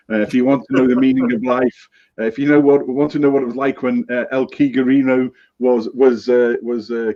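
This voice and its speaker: A man hosting a live show in an upbeat, chatty way, often calling his co-host mate. His voice sits at a medium pitch. The sound is dull and lo-fi, heard over a remote feed. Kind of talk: casual live-stream chat. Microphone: remote audio feed, off-screen.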